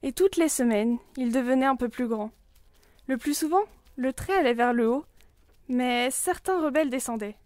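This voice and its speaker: A woman's voice: high-pitched, normal speed, darkish tone, dry recording. A young woman reading a scripted, intimate literary journal as a narrator. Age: young adult. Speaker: student narrator.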